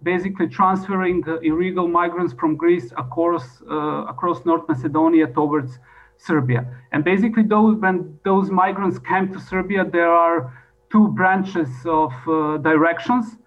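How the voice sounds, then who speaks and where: Higher-pitched male presenter, non-native English, muffled audio. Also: fairly even intonation, normal speed. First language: not English. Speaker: presenter at an expert conference. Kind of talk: formal presentation, partly improvised. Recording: dull lo-fi audio.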